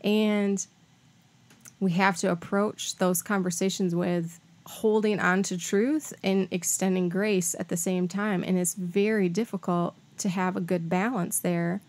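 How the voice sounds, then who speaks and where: A woman's voice: fairly low pitch, darkish tone. A woman speaking as a podcast guest on faith, in a thoughtful, conversational way.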